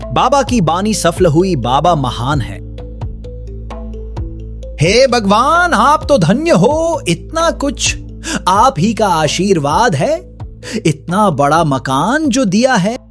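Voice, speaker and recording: A man's voice: sits high, sing-song, slow, fairly bright tone, faint music behind, exaggerated voice. A man playing a humble, pious, poor villager, earnest and reverent, in a children's cartoon. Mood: grateful awe. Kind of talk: Hindi cartoon moral-tale voice acting.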